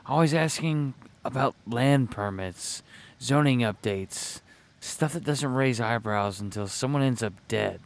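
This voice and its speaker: Man acting a nervous, higher-pitched records clerk. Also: unhurried, close to an everyday voice. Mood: nervous, secretive. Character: nervous male night-shift records clerk, furtive informant.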